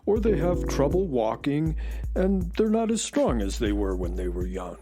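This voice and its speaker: An actor voicing a patient, sensible space horse in a children's radio play, calmly explaining things. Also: mid-range voice, moderate pace, music in the background, natural voice.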